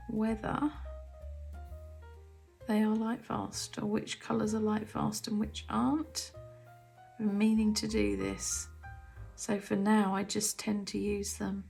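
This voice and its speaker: Croaky, soft-spoken woman, half whispering. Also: medium pitch. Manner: soft, often half-whispered, musing aloud. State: croaky throat from cold. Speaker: artist demonstrating paints. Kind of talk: casual craft tutorial chat. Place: home art studio.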